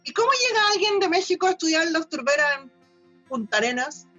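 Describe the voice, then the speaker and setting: Very high-voiced lively radio hostess. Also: female voice, a little fast, lo-fi sound, soft background music. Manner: lively and informal. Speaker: radio host. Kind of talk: radio interview hosting.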